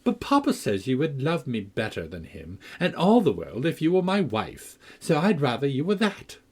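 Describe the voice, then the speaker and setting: A man's voice: mid-range voice, huge pitch swings, normal speed, breathy edge, pitched above the performer's own voice. A man voicing a peevish, sickly boy who whines and taunts in a self-pitying way. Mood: wheedling.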